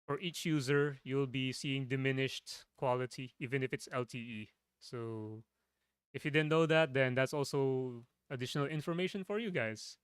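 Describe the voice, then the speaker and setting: A man from the Philippines, a streamer with a low voice, chatting casually from his home setup, rambling and restarting his sentences. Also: clear, resonant tone, dry recording, clean studio sound. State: voice tiring.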